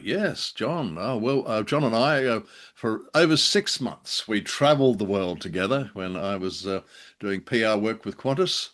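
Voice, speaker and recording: Older man, low-pitched, bantering. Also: lively intonation, a bit bright. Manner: jokey, anecdotal, halting with many hesitations. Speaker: co-host of a radio drama show. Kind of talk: casual bantering chat.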